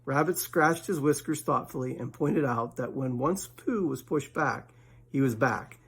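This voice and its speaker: A man's voice: medium pitch, a little slow, vocal fry, breathy edge. A man reading a children's bedtime story aloud as the storyteller.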